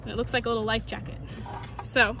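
A woman's voice: a little high, little pitch movement, quick pace, sharp tone. A young woman sailing instructor giving a patient, step-by-step demonstration at a lake shore, naming each part as she goes, recorded on a phone close by. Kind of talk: outdoor how-to demonstration. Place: outdoors at a lake shore, standing in shallow water. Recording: outdoor phone recording with clear water and boat noise.

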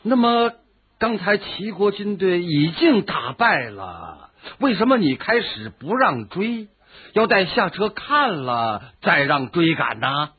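An eager ancient ruler with a high, lively voice who keeps pressing for answers. He speaks slowly, with wide rises and falls. The sound is thin and phone-like. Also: exaggerated voice. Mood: curious. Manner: eager, questioning, exclaiming. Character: male ruler, eager and inquisitive. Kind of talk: radio drama acting. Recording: tinny phone-like lo-fi audio.

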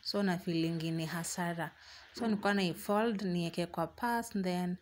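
A woman's voice: on the low side, fairly even intonation, normal speed, slight background noise. A young woman with a Kenyan accent talking casually to her viewers in a home vlog, slipping Swahili phrases into her English. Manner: chatty and warm, mixing in Swahili phrases. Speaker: vlogger.

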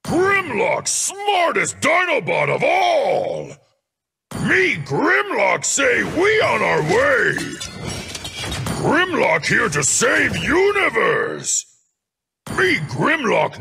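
A man's voice: extremely high pitch, huge pitch swings, drawn-out words, bright, forceful tone, raised voice, faint music behind, exaggerated voice. A man voicing a brash, dim, boastful robot dinosaur for a video game, growling bluntly in caveman-like broken grammar. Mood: boastful, combative. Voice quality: gravelly, growling. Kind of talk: video game character lines.

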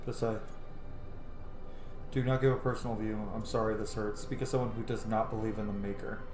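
A man's voice: on the low side, steady tone, fairly quick, background noise. A man in his thirties giving casual let's play commentary, thinking aloud as he plays a role-playing game. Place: indoor home room. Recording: echoey room, game music behind.

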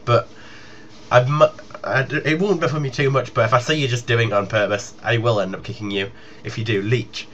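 A young man talks informally to his gaming audience, wandering from point to point. His pitch is average for a man. The sound is dull and lo-fi, with faint noise behind him. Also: fairly even intonation, a little fast, a bit bright. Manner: informal, rambling. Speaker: gaming YouTuber. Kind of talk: casual announcement to viewers. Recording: muffled lo-fi home recording with noise.

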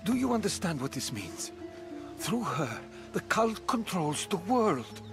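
An actor playing a thoughtful, skeptical middle-aged Greek scholar, measured and earnest, in a video game cutscene. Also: male voice, sits high, very animated, over music. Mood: grave, alarmed.

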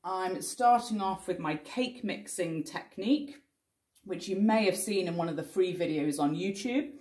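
Lowish-voiced woman teaching painting in an echoey room. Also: unhurried, darkish tone. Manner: explanatory, reassuring, thinking aloud while working. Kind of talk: step-by-step painting tutorial. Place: indoor studio in front of a cloth backdrop.

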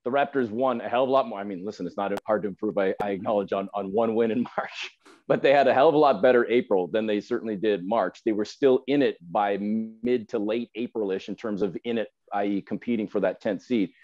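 A man's voice: lowish voice, brisk, darkish tone. A man in his forties making an emphatic, explanatory case with asides in a sports discussion on a video call. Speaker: sports broadcaster, podcast guest. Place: indoor room at home, video call. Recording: dull lo-fi video call audio.

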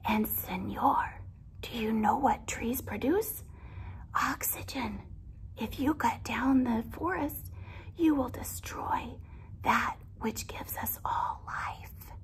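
A woman's voice: on the high side, slow, pitched above the performer's own voice, low-quality recording. Woman as a whispering, earnest little porcupine. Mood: hushed, earnest. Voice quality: whispered, breathy. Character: whispering tree porcupines, gentle and earnest forest animals. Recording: clear background noise.

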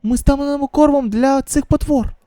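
A woman voicing a cautious, observant survivor who explains haltingly in a manga dub. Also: high-pitched, mellow, dry recording, studio quality, lightly acted. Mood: tense, careful.

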